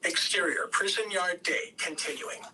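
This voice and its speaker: Bright, high-voiced man reading stage directions. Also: moderate pace. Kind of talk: scripted narration at an amateur table read. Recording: distant room mic, faint noise.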